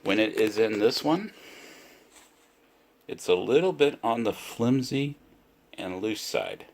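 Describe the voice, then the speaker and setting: Guy doing a casual gadget review, low voice, talks real slow and deliberate, kinda repeats himself. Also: big pitch swings, vocal fry. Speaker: product reviewer. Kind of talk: casual unscripted product demonstration.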